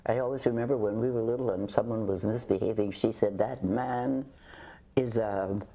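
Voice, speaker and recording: Older woman, interview guest, husky and mellow, halting storyteller. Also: extremely low pitch, fairly even intonation. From Canada. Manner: halting, with frequent restarts and hesitations. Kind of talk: reflective conversational storytelling.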